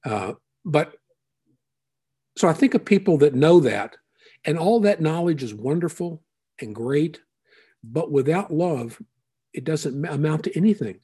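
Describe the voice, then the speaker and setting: A man preaching a conversational sermon as a pastor, unhurried and thinking aloud with frequent asides. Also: on the high side, lively intonation, mellow, slightly rough.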